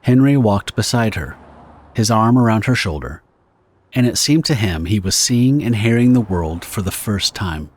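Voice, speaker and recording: A man's voice: on the low side, expressive, normal speed, a little mellow. A man narrating a pulp horror audio drama from a script. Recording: guitar music behind the narration. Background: plucked guitar music.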